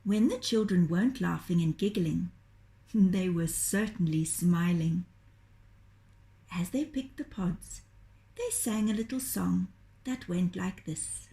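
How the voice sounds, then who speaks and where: A woman reading a children's story aloud in a gentle, deliberate, expressive storytelling manner. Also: lowish voice, gravelly. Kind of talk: children's storytelling, scripted narration.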